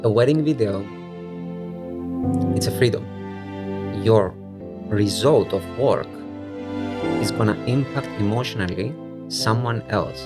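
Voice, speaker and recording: A man's voice: on the low side, unhurried, long pauses, loud music behind the voice. A man in his thirties from Cyprus, born in Bulgaria, talking reflectively as a podcast guest. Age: 30s. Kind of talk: reflective personal interview. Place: home studio at a dining table.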